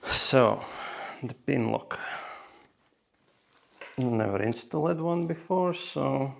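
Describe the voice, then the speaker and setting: A man's voice: mid-range voice, lively intonation, slow pace, gravelly. A man talking casually through a hands-on tutorial step by step, with non-native English phrasing. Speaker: host of a motorcycle gear how-to video.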